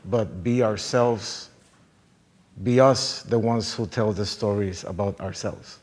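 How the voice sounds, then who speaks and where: Low-voiced man on a panel. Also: a little mellow. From Puerto Rico. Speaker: film director on a panel. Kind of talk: conversational panel talk, personal storytelling.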